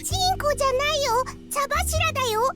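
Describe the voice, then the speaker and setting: A woman's voice: very high-pitched, racing. A woman voicing a bubbly, cheeky little sister in an anime visual novel. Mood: cheeky, insistent. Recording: loud playful game music behind.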